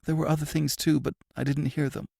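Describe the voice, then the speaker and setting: A man's voice: little pitch movement, a little fast, gravelly, warm tone, no room sound, studio quality. A man voicing a terrified male prisoner who gasps and wails in panic. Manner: gasping, shrill, panicked wailing. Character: terrified male prisoner, a guilt-haunted murderer.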